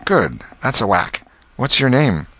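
Man voicing a folksy schoolboy, pitched up. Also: sing-song, unhurried, a bit bright, dry recording. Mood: coaxing, coy. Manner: folksy, plain-spoken. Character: cheeky, folksy American schoolboy, sweet on a girl.

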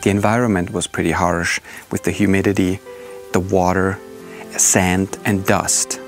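Low-voiced man narrating over music. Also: level tone, a little slow. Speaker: drone team leader. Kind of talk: documentary narration. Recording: music bed under voice.

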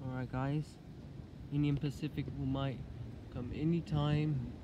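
A man's voice: mid-range voice, flat delivery, measured pace, muffled recording. A man vlogging as a trainspotter, chatting casually by the tracks and calling out train numbers. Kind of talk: casual trainspotting vlog narration. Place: outdoors by railway tracks and station platform. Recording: street and train noise behind.

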